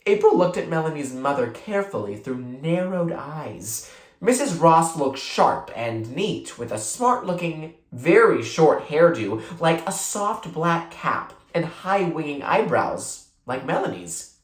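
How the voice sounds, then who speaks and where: A reader narrating a children's novel aloud in an expressive, deliberate storytelling manner. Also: mid-range voice. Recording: roomy echo.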